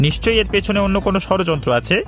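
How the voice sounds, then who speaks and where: A man's voice: sits high, very fast, faint music behind, close to an everyday voice. A man voicing a protective, forthright village uncle in a cartoon folk tale. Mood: concerned, firm.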